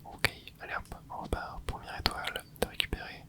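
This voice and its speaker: A gaming creator whispers an ASMR let's play. The voice is breathy and unvoiced throughout. The commentary is soft and casual, moving along in short remarks and little interjections. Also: a little fast.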